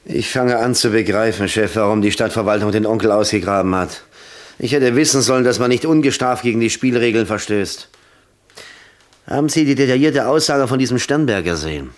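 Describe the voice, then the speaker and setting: An actor playing a principled, wronged police detective in a radio drama, plain-spoken and weary. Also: male voice, lowish voice, a little fast, lightly acted. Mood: defensive, bitter. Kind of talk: German crime radio drama acting.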